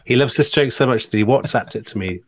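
A man's voice: mid-range voice, quick pace, no room sound. A middle-aged man co-hosting a podcast in casual, chatty conversation, wry and fond of tag questions. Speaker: podcast co-host. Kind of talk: casual chat, reading listener comments.

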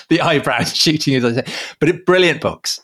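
Halting, chatty male podcast host. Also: on the high side, slightly rough. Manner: hesitant restarts, animated when joking. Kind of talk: bantering podcast chat.

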